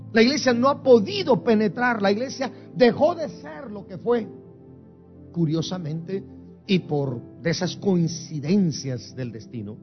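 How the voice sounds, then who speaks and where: A man's voice: high voice, lo-fi sound. A man from Guatemala preaching a sermon as pastor, with emphatic, rising and falling intensity. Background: soft music.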